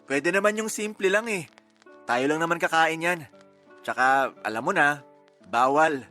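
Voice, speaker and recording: A man acting a grateful, gently teasing boyfriend in a Tagalog radio romance drama, affectionate and conversational. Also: on the high side, unhurried, soft background music.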